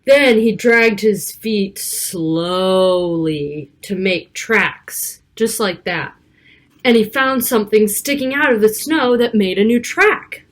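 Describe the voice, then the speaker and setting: A woman reading a picture book aloud to children, expressive, with casual asides about the pictures. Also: medium pitch, slow pace. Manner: child-directed, expressive, stretching key words and pointing out pictures.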